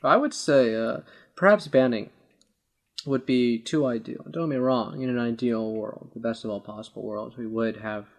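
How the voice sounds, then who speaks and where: A man's voice: mid-range voice, normal speed. A man debating politics informally as a podcast co-host, speaking in a hesitant, wordy way with formal vocabulary.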